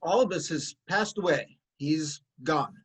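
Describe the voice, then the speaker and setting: An actor playing a humble, cheery old messenger from Corinth in a formal, expressive reading of a Greek tragedy. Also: male voice, on the high side, measured pace, breathy edge. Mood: solemn.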